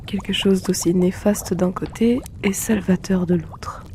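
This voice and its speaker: Casting: male actor for courteous potion-shop keeper, ASMR roleplay. Warm and mellow, flat gentle delivery, softly teasing, unhurried. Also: high-pitched, slight background noise. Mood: calm, explanatory. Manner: polite, soft-spoken, unhurried, gently teasing. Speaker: roleplay audio performer.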